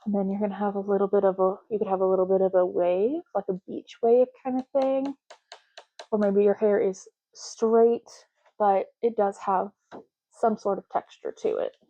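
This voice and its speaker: A woman doing a soft-spoken ASMR roleplay, talking slowly and casually and often repeating words in a deliberate way. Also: medium pitch, steady tone, muffled recording.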